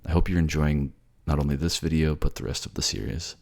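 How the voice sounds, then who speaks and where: A man's voice: extremely low pitch, little pitch movement, fairly quick, a little mellow. A man who works as a voice actor, casually addressing his listeners in a polite, hesitant, self-deprecating way. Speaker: voice actor, channel host.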